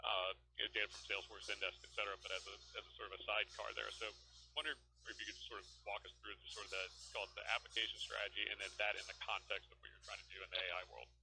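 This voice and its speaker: A man asking a question as an analyst on an earnings call, in wordy, halting phrasing. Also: lowish voice, gravelly, bright, forceful tone, low-quality recording. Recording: conference call with faint noise.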